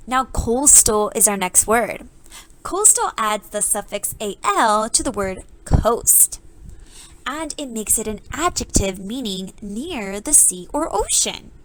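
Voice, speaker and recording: A woman's voice: on the high side, big pitch swings, slow, fairly bright tone, slight background noise, low-quality recording. A woman teacher leading a read-aloud reading lesson for children, explaining things step by step in an instructive way. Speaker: elementary school teacher.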